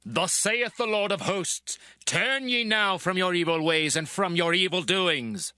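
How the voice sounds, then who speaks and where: High, ringing warning prophet. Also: male voice, unhurried, sharp tone, clear, resonant tone, no echo, studio quality, heavily acted. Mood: urgent warning. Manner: exhorting. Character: urgent former prophet crying for repentance. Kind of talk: dramatized scripture reading.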